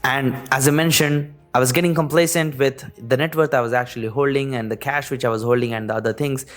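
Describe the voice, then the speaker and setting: A man's voice: mid-range voice, fairly even intonation, fast talker, a bit bright, faint music behind. A young man from India with an Indian accent, talking earnestly about his business, dropping lots of "you know" and "actually". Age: young adult. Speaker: business owner. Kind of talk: direct-to-camera business talk.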